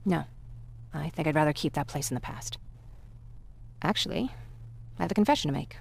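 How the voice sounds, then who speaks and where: A young woman survivor in a sci-fi game, with a noticeably creaky voice. She talks naturally and reflectively, with a wistful, wry edge. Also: low-pitched, brisk. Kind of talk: naturalistic game cutscene acting.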